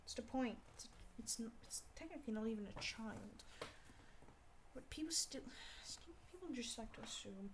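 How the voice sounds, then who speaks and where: A young woman with a Scottish accent chatting casually on an art livestream, rambling and scolding herself out loud in exasperation. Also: a little high, animated, moderate pace, background noise. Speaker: art streamer. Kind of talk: casual livestream chat while drawing.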